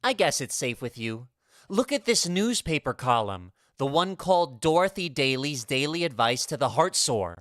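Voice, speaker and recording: A woman's voice: deep voice, big pitch swings, moderate pace, dry recording, studio quality. A woman voicing an earnest, melodramatic teenage schoolboy who declaims and confides, in vintage radio comedy style. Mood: conspiratorial, eager. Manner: conspiratorial, grandly declaiming.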